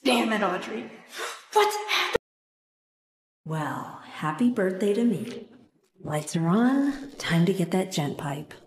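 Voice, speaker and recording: A woman playing an anxious but plucky, kind-hearted young animator in a horror video game, expressive and often talking to herself. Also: medium pitch, slow, long pauses, big-room sound, slight background noise. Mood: uneasy, searching. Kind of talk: dramatic horror game voice acting.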